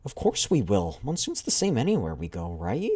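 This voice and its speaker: A man with a deep voice voicing an eager, excitable young female squad member who pleads and protests in a raised voice. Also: normal speed, husky, exaggerated voice. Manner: pleading, high-strung.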